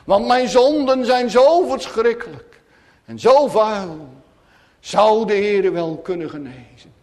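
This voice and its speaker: A man preaching a sermon, solemn and pleading, swinging dramatically from hushed words to crying out. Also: sits high, expressive, slow pace. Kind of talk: emotional Reformed church sermon. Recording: long church-like hall reverb.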